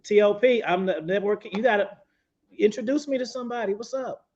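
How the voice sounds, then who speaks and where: A man's voice: high-pitched, brisk, darkish tone, lo-fi sound. A man hosting an interview, talking in a laid-back, profane way with lots of stumbles and restarts. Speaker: interview host and author. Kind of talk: casual interview chat.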